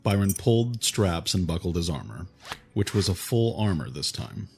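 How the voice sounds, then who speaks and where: A male narrator reads fantasy fiction in a very deep register. The delivery is scripted audiobook storytelling, with faint music running behind the voice. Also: big pitch swings, normal speed, vocal fry, a little mellow.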